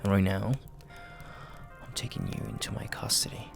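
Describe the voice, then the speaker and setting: A man playing a dominant, flirty and possessive mafia boss in an intimate audio roleplay, speaking in a gravelly, breathy half-whisper with a commanding, teasing manner. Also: low voice, very animated, music in the background, full character voice. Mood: menacing, controlled. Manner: slow, commanding, teasing, with drawn-out pauses.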